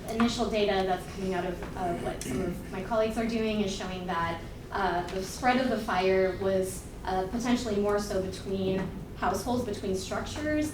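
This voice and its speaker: A woman in the audience asking a long question loudly, with many fillers. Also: medium pitch. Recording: echoey hall, far from mic, noise.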